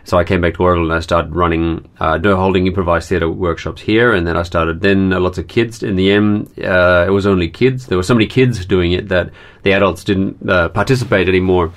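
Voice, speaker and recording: A man from Australia who leads children's theatre workshops, telling his story in a casual, hesitant way with many pauses and fillers. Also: deep voice, fairly even intonation. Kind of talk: casual personal account.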